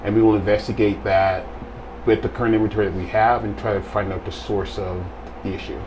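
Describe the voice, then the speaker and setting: A middle-aged man from Barbados, seated in an interview and explaining his work in a measured way, with frequent pauses and fillers. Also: lowish voice, flat delivery, noisy background. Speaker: guest, quality assurance technician. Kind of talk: casual interview answers. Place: small studio room with plain wall.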